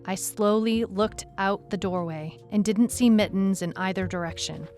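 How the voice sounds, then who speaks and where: A woman playing a tough, weary, skeptical detective who narrates her case in a measured, dramatic, reflective way. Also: mid-range voice, darkish tone, lightly acted. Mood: grave, suspenseful. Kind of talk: scripted first-person story narration. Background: music bed under the voice.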